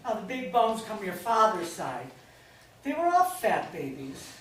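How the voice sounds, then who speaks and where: A woman playing a brash, stubborn mother in a staged play reading, loud and theatrical. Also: mid-range voice, huge pitch swings, measured pace. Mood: drunken, gleeful. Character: Maggie, drunk, rambling, brash mother, emotional and stubborn. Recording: echoey room, distant mic, faint noise.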